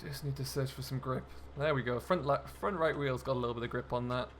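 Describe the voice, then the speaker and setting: A male gaming host with a mid-pitched voice talks casually through what he is doing at the wheel. His commentary is chatty and loose, in a role-play style. Faint vehicle noise and ambience sit behind his voice. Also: steady tone, fast talker, a bit bright.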